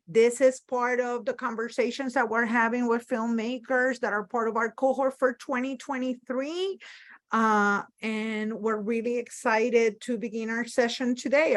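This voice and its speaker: A bilingual woman moderates a film panel with a fairly high voice. She switches between Spanish and English as she introduces guests and reads out questions.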